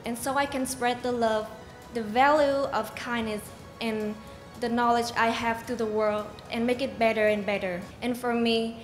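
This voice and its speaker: A woman's voice: on the high side, fairly even intonation, moderate pace. A twenty-one-year-old woman, a native Vietnamese speaker, giving an earnest, composed speech as a pageant contestant. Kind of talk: prepared self-introduction speech. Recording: echoey hall with loud music.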